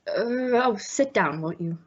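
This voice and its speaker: A woman's voice: mid-range voice, animated, a little slow, background noise, natural voice. Woman as a proper stuffy businessman, bit hesitant, old radio mystery, muffled recording. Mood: formal, uneasy. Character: stuffy, formal, worried male department store executive. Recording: dull lo-fi audio.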